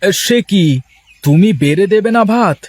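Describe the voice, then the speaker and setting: A man voicing a poor, excitable village fisherman in a folksy moral-story cartoon. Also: high voice, moderate pace, dry recording. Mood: weary, plaintive.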